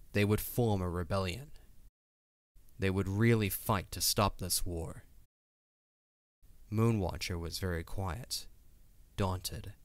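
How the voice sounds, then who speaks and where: Deep-voiced male story narrator. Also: no echo. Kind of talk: scripted storybook narration of an audio drama. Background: faint music.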